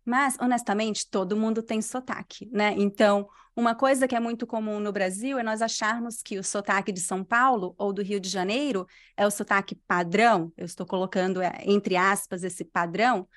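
A Brazilian woman with a mixed Brazilian Portuguese accent, a language teacher answering interview questions in clear, unhurried speech. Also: mid-range voice, steady tone. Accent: Brazilian Portuguese, mixed regional. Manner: clear, unhurried diction, completes every phrase.

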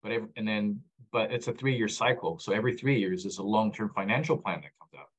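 Earnest low-voiced man with a husky tone. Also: brisk, poor audio. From Egyptian background, raised abroad. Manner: earnest, repeats points, many restarts. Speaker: guest, founder of a data platform. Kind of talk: conversational podcast interview.

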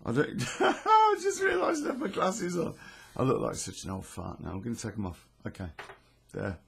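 A British man with a hoarse, croaky voice, hosting a podcast in a rambling, self-deprecating, sweary way. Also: medium pitch, big pitch swings, normal speed, fairly bright tone. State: hoarse from illness. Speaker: podcast host and storyteller. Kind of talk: casual chatty intro to a podcast.

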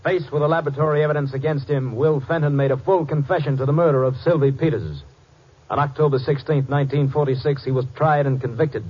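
A man's voice: on the high side, fairly even intonation, quick pace, husky, faint music behind. A man narrating a 1950s radio drama in a grave, formal, scripted style. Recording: lo-fi old radio broadcast.

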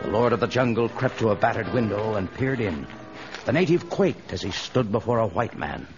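A man's voice: fairly low pitch, expressive, moderate pace. Dramatic male radio-serial narrator over music. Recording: dull old radio recording, music behind.

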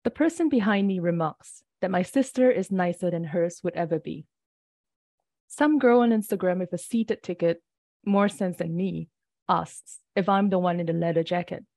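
Woman poet, warm dry close voice, measured unhurried reading, a bit early-morning rough. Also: fairly low pitch, no room sound. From Singapore. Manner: measured, deliberate reading with brief asides. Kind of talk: poetry reading.

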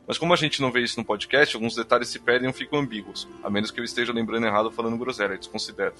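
Bright, quick Brazilian man reading listener mail. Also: mid-range voice, steady tone, soft background music. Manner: irreverent, slangy. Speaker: podcast co-host reading listener feedback. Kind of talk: casual reading aloud and banter.

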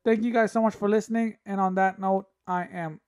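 Man, high voice, raspy from a cough, casual solo sports podcast host, opinionated. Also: steady tone, brisk, darkish tone. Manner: casual, opinionated, thinking aloud with fillers.

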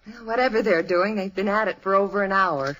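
An actress playing a polite, gentle middle-aged wife and mother with a husky voice in an old radio drama. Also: mid-range voice, fairly quick, muffled recording, close to an everyday voice. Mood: mildly puzzled. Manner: courteous, motherly. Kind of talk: 1950s radio drama acting. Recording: old radio broadcast recording.

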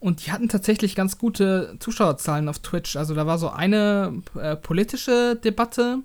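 A man's voice: high-pitched, moderate pace. A young adult man co-hosting a casual podcast, talking hesitantly with many ums and restarts. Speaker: podcast co-host.

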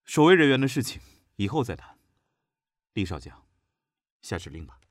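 A man's voice: mid-range voice, big pitch swings, moderate pace, no room sound, studio quality, lightly acted. A man playing a proud, brooding young military officer in an intense, dramatic radio drama style. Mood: stern, businesslike. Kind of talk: Chinese radio drama acting.

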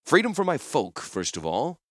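A man's voice: a little high, wildly swooping pitch, moderate pace, a bit bright, dry recording, clean studio sound, voice pushed up, exaggerated voice. A man voicing a swaggering, defiant warrior hero who speaks in a commanding way in a fantasy audiobook. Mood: firm bargaining. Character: boastful, defiant legendary male warrior hero.

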